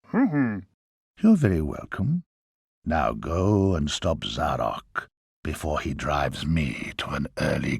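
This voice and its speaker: An actor playing a wry, chatty, overworked grim reaper in a video game, with a theatrical manner. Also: male voice, sits low, creaky, husky, dry recording, clean studio sound, full character voice. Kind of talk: scripted video game character acting.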